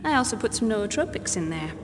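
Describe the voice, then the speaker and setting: An actress playing a proud, no-nonsense military scientist in a video game, precise and clinical. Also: female voice, medium pitch, sing-song, fast talker, close to an everyday voice. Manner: precise, clinical, matter-of-fact. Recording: very reverberant hall, loud background noise.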